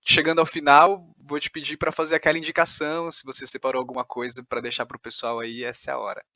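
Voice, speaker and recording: A man's voice: medium pitch, completely flat delivery, quick pace, bright, forceful tone, no echo. A man hosting a podcast interview, enthusiastic and effusive as he thanks his guest and wraps up. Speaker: podcast host.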